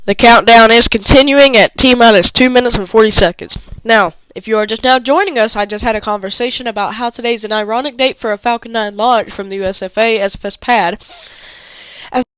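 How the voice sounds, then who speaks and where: Guy with a stuffed up nasal voice doing amateur launch commentary, clean smooth sound, lots of ums. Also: sits high, fairly even intonation, fairly bright tone, no room sound, poor audio. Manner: halting, many fillers and restarts. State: stuffy nose. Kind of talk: hobby launch countdown commentary.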